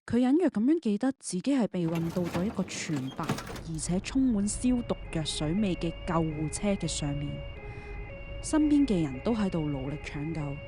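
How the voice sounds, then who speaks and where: A woman's voice: fairly low pitch, lively intonation, moderate pace, over music. A woman, narrating a scripted audio drama in the third person.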